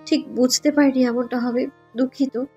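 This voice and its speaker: Woman acting a high-voiced, shy Bangladeshi girl student. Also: fairly even intonation, moderate pace, warm tone, breathy edge, lo-fi sound. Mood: embarrassed. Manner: meek, apologetic, hesitant. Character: shy, polite young female student in a romance. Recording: music bed behind the voice.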